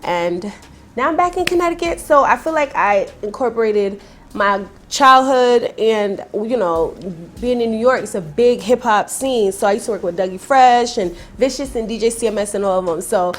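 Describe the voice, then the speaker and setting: A young woman from Jamaica, guest on an interview, talks in a fairly high voice. She tells her stories with animation and drops a "honey" now and then. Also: moderate pace. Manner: animated storyteller, calls people honey. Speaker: rapper guest. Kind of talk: casual interview chat.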